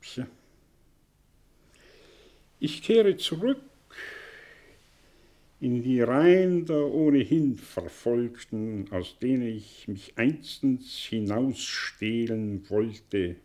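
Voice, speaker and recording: An actor playing a learned, broken professor in a German radio play, halting and emphatic, with literary phrasing and bitter fatalism. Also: male voice, mid-range voice, warm tone. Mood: bitter, resigned.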